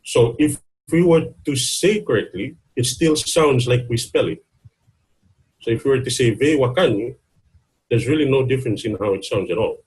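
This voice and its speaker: Husky, slightly shaky Fijian man. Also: mid-range voice, a little mellow, low-quality recording. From Fiji, Lau islands. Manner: hesitant, pausing mid-phrase. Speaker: guest speaker, university student. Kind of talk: informal talk to an online gathering. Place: online video meeting.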